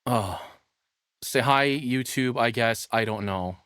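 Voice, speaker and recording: A man's voice: medium pitch, slow pace, fairly bright tone, smooth, no echo, clean studio sound. A tired man casually commentating on a live stream as he reacts to a video, crude, profane and self-deprecating.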